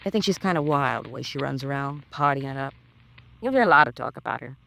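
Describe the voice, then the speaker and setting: A woman's voice: rumbling low, animated, brisk, vocal fry, sharp tone, heavily acted. An actress playing a nosy, gossipy, disapproving landlady in a 1950s radio crime drama, with folksy, chatty colloquial speech.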